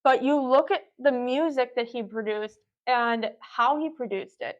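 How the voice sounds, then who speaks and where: A woman's voice: fairly high pitch, unhurried, muffled recording. A young woman, a podcast guest, talking at length in a reflective, casual way. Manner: reflective, talkative, explains at length.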